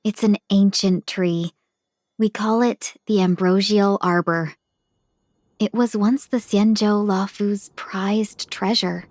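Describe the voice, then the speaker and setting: A woman voicing a composed, knowledgeable guide in a video game, with a measured, explanatory delivery. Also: medium pitch, fairly even intonation, a little mellow, no echo, clean studio sound. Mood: calm. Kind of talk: video game character acting.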